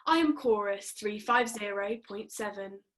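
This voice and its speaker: A woman's voice: medium pitch, unhurried, a bit bright, close to an everyday voice. A woman playing an impersonal machine chorus in a drama table read, reciting codes in a formal, clipped manner. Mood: flat, procedural. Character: cold robotic machine chorus recording abortion testimonies.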